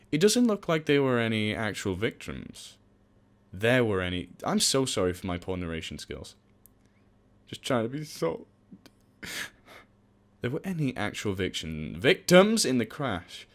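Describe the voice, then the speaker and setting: A man's voice: lowish voice, huge pitch swings, measured pace. A young man casually reading a visual novel aloud for a let's play. He jokes, swears and mocks himself as he stumbles over words.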